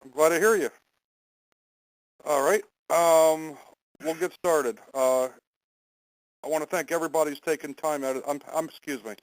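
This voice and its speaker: A man hosting and moderating a conference call in a semi-formal, halting way, stumbling over his wording now and then on a phone line. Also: fairly high pitch, dry recording.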